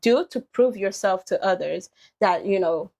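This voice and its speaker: A woman's voice: mid-range voice, a little slow, creaky, darkish tone. A woman in her twenties from Haiti with a Haitian accent, a podcast guest talking through her story in a reflective, casual way, full of "you know". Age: 20s.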